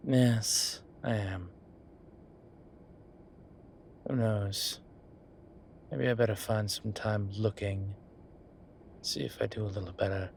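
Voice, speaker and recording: A composed male demon professor in an audio roleplay, acted by a man, who teases in a measured, genteel way. He talks very slowly and unhurriedly, often sinking into a hush. A faint hiss of noise sits under the voice. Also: fairly low pitch, fairly even intonation, vocal fry. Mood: wistful. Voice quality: soft, often whispered, unhurried.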